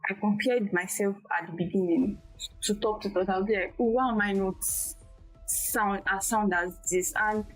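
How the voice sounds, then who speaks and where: A young woman speaks in a small, quiet voice as a guest tutor in a casual interview. She talks haltingly, often restarting her sentences. Faint music plays underneath. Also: medium pitch, fairly even intonation, low-quality recording. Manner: soft-spoken, halting with restarts. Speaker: guest, tech skills tutor.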